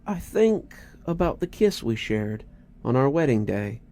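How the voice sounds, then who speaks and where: A man's voice: medium pitch, sing-song, measured pace, mellow. A man voicing a grieving, desperately hopeful wife who coos and pleads in a quivering voice, as in a storybook reading. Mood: sorrowful hope. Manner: cooing, pleading. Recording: music behind the voice.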